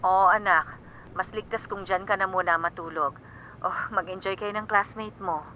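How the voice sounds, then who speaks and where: An actress voices a middle-aged Filipino mother on the phone in a radio drama. She sounds concerned and motherly, with a sharp, bright tone and some line noise. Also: mid-range voice, fairly even intonation, moderate pace, natural voice, low-quality recording. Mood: concerned, tender.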